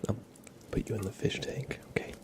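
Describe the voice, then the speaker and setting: A man hosting an ASMR livestream, chatting playfully and softly with viewers, often slipping into whispers and rambling as he goes. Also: brisk. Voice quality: soft, often breaking into whispers. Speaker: livestream host. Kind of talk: ASMR livestream chat, reading and answering viewer comments.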